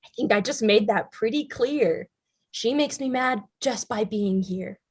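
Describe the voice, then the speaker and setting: A woman's voice: on the high side, measured pace, husky. A woman playing a bitter, combative young woman in a treatment group in a staged play reading, blunt, sarcastic and profane. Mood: defensive, angry.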